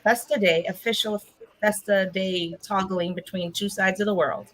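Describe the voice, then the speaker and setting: A woman's voice: mid-range voice, steady tone, moderate pace, fairly bright tone, rough recording. A woman hosting a casual video call chat, rambling and restarting her sentences. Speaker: host.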